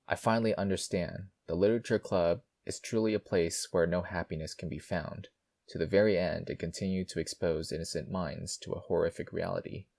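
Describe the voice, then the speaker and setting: Low-voiced man doing casual game commentary. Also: gravelly, a little mellow. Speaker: gaming video host. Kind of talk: casual playthrough commentary and reading aloud. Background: music.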